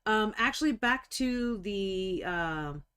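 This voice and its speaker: A woman in her forties chatting casually while painting miniatures on a live stream, talkative, joking about herself and quick to apologize. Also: medium pitch, expressive, fairly bright tone, clean tone. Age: 40s.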